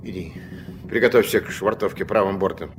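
An actor playing a gruff, steady old riverboat captain and grandfather with a curt, commanding way in a Soviet radio play. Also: sits low, a little fast, background noise, natural voice. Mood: brisk, commanding.

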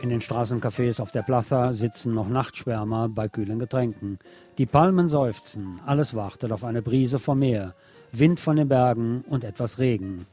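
A man hosting a late-night radio show, delivering a literary scripted monologue in a deliberate, poetic storytelling way. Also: medium pitch, dark tone. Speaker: radio host. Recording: music playing under the voice. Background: music.

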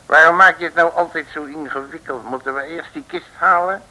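An actor playing a blustering, muddle-headed detective who grumbles bossily in an old radio comedy serial. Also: male voice, on the high side, fairly quick, bright, forceful tone, poor audio. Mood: exasperated. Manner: bossy, colourful grumbling. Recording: vintage mid-century radio recording.

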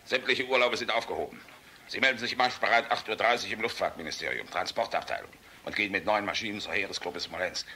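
A man's voice: lowish voice, fast talker, pushed and bright, a little hiss or hum. An actor playing a jovial, outspoken, fatherly air force general in a German radio drama, hearty, sardonic and commanding. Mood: brisk, commanding.

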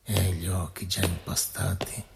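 A man reciting poetry dramatically, as in radio theatre, with measured, deliberate phrasing and pauses. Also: sits low, little pitch movement, gravelly, long reverb tail, background noise. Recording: voice over prominent music. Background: loud musical instrument.

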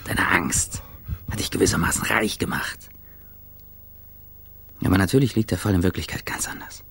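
A man's voice: low-pitched, moderate pace, creaky, a bit bright, slight background noise. An actor playing a haunted, paranoid man brooding on his fear in terse fragments, in a radio drama. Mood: brooding, tense.

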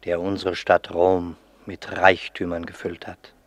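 A man's voice: sits low, monotone, measured pace. A man narrating a radio drama about a Roman state funeral in a solemn, scripted style. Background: music.

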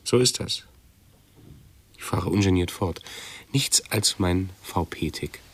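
An actor playing a fussy hypochondriac man in a radio play, who talks haltingly and pedantically, correcting himself. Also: sits low, breathy edge, full character voice. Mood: pedantic, halting.

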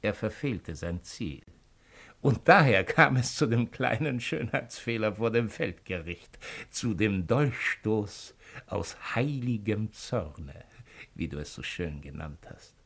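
An actor playing a shrewd, cynical imperial agent of ancient Rome in a 1950s German radio play, with a mocking, deliberate, rhetorical delivery. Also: male voice, fairly low pitch, gravelly, a bit bright, breathy edge, exaggerated voice.

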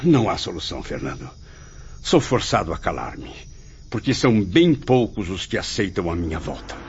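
Anguished ghost of a proud writer, muffled sound. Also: male voice, low-pitched, big pitch swings, normal speed, a little hiss or hum. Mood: dejected, wounded. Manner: theatrical, literary, lamenting. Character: anguished spirit of a dead male writer, proud, melancholy. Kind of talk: dramatic radio soap acting. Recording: muffled radio drama with faint music.

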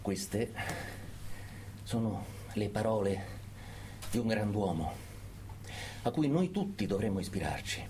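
An actor playing a fanatical political orator in a theatrical radio monologue, emphatic and full of dramatic pauses. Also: male voice, on the low side, big pitch swings, mellow, a little hiss or hum. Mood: grave, insistent. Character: fanatical male political orator urging brutal repression.

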